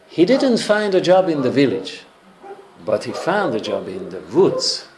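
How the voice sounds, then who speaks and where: A male English teacher slowly telling a folktale in simple English for learners, pausing to check in with listeners. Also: medium pitch, noisy background. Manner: slow, deliberate, checks in with listeners.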